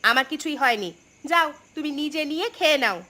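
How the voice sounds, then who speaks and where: A woman voicing a sharp-tongued but caring village housewife in a Bengali folk-tale cartoon, with theatrical expression. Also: high voice, lively intonation, brisk. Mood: annoyed.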